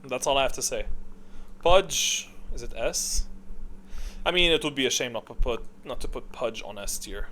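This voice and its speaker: A man's voice: medium pitch, animated, normal speed, a bit bright. Man thinking aloud over noisy mic. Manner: musing, thinking aloud, self-questioning. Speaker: gaming video creator. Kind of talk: casual opinionated tier-list commentary.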